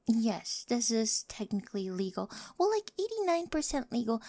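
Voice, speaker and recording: Woman playing a creaky-voiced, smitten, quirky teenage girl. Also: mid-range voice, darkish tone, no echo.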